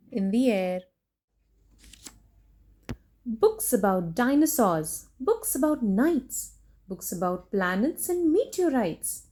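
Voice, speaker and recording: A woman doing an expressive children's picture book read-aloud, playful in her emphasis, with chatty asides to her young listeners. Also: on the high side. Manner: animated, sing-song rhyme reading with playful emphasis. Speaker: children's story reader and host.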